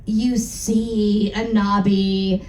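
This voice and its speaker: A woman's voice: medium pitch, level tone, drawn-out words, darkish tone, voice pushed down, poor audio. A woman voicing a pompous, cryptic old male wizard headmaster with a portentous, jokey manner in a story reading.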